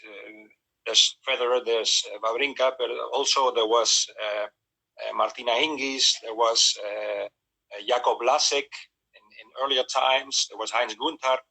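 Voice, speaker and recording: Sharp, forceful male interviewer, halting delivery. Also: medium pitch, creaky, slightly rough, poor audio. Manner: halting, searching for words. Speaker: interviewer hosting a live stream. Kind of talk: casual live interview. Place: remote live video call.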